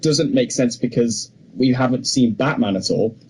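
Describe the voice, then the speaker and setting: Slightly husky man, mid-pitched. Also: normal speed, a little mellow, low-quality recording. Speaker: podcast co-host. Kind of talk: casual film discussion.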